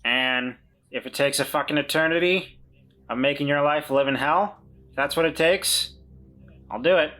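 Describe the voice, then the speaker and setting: Man playing Walter, a bright-voiced, defiant weasel. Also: fairly high pitch, moderate pace, pauses often. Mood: shaky defiance. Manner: hesitant, blustering, plain-spoken. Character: Walter, nervous but defiant talking male weasel.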